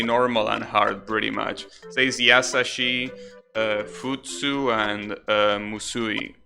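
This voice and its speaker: A man's voice: mid-range voice, pushed and bright. A man giving casual, rambling live commentary as a game streamer, chatting and explaining as they go. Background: video game music.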